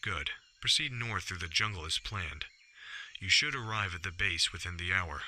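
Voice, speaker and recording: An older military commander briefs with steady authority in a radio drama. His voice is deep and very forceful, over faint noise. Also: heavily acted. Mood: businesslike. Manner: firm, measured briefing.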